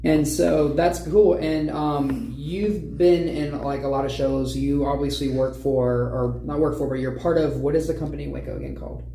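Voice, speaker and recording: A man's voice: fairly high pitch, steady tone, normal speed, creaky, few pauses, dark tone. A man hosting a podcast, interviewing guests in a conversational way. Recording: roomy echo, background noise.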